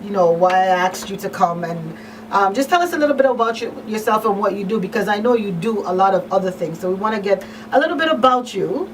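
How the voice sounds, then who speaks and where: A woman hosting a live radio call-in show, effusive and repeating words for emphasis. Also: mid-range voice. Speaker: talk show host. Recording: distant mic, faint noise behind.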